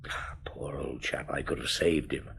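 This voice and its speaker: An actor playing a shrewd, weary police chief inspector in a radio drama, measured and authoritative. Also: male voice, a bass voice, fairly even intonation, creaky, a bit bright, breathy edge, in a whisper, full character voice. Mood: grim.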